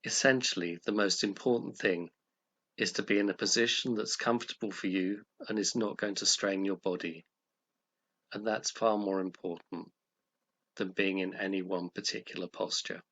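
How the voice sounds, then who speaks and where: A man with a fairly low voice leads a guided meditation. He gives gentle, calm instructions in short measured phrases, pausing between them.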